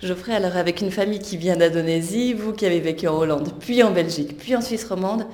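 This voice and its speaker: A woman's voice: fairly low pitch, fast talker, darkish tone. A woman presenting and interviewing, with prepared introductions and questions put in a conversational way.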